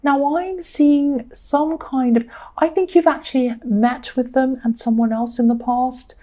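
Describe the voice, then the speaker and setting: A woman talks through a tarot spread in a casual, reassuring way. Her voice is mellow and soft-edged, slightly croaky, and she fills pauses with ums. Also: sits high, fairly even intonation. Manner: chatty, reassuring, many ums. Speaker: tarot reader. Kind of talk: casual spoken tarot reading.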